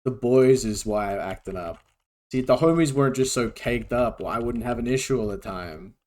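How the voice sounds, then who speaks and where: A man's voice: medium pitch, fairly quick, darkish tone. A young man streaming, chatting casually in a joking, laid-back way, swearing and laughing often. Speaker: VTuber streamer.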